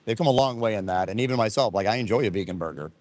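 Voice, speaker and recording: A man who travels the world debating casually with a street interviewer outdoors, talkative and quick to argue back. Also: fairly low pitch, expressive, a little mellow, dry recording. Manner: talkative, argumentative, chuckling. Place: outdoors at a beach.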